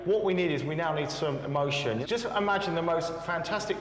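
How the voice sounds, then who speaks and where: A man's voice: fairly high pitch, a little fast. A man working as a vocal coach, explaining and encouraging a singer with vivid images. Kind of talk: interview and singing lesson. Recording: echoey room with loud music behind.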